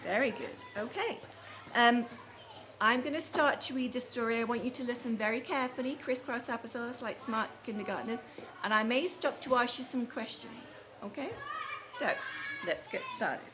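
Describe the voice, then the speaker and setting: A woman kindergarten teacher reading a storybook aloud to young children, prompting them with questions and repeating deliberately. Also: fairly high pitch. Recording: faint background room noise.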